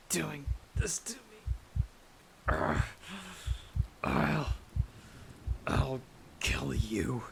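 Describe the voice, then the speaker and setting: A desperate young male traveler acted by a man in a dramatic audio roleplay. He speaks slowly and breathlessly, with a creaky edge and a defiant intensity, over clearly audible noise. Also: on the high side, full character voice. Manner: breathless, halting, intense delivery. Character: frightened, defiant young male traveler turned half-vampire. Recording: noisy track with storm ambience.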